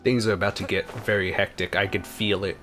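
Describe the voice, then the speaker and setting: A man's voice: low-pitched, little pitch movement, a little fast. A man hosting a let's play, giving casual gameplay commentary. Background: soft game music.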